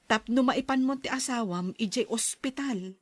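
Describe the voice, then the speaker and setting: An actress playing a kind-hearted but aggrieved farm wife with an emphatic manner in a radio drama. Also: female voice, a little high, moderate pace, dry recording, natural voice. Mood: concerned, candid.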